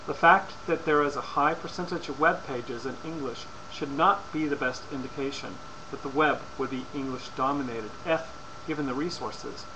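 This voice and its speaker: Man reading a formal lecture, noisy recording. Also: medium pitch. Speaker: presenter. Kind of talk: scripted persuasive lecture, read aloud. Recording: clearly noisy amateur recording.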